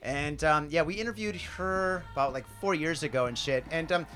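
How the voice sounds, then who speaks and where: A man from the Detroit area talks in an excitable, profane rush, dropping "dude" into nearly every sentence. His voice sits a little high for a man. Faint music hums behind him. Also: normal speed. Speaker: podcast guest. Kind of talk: casual interview chat.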